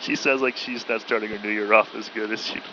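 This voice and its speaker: A man's voice: fairly low pitch, steady tone, fairly quick, bright, forceful tone, lo-fi sound, a little hiss or hum. A man riding along in a small aircraft, chatting casually and jokingly over a headset. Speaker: passenger and flying companion. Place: small aircraft cockpit in flight.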